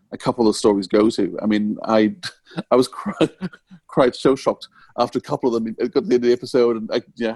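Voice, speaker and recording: Male podcast host, medium pitch, close dry sound, casual and somewhat halting delivery. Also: darkish tone, breathy edge, no echo. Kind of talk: casual interview chat.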